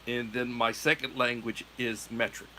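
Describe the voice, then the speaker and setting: A man's voice: mid-range voice, flat delivery, normal speed, pushed and bright, slight background noise. A middle-aged American man hosting a livestream, chatting in a meandering, self-correcting way. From United States. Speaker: livestream host. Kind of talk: casual rambling chat.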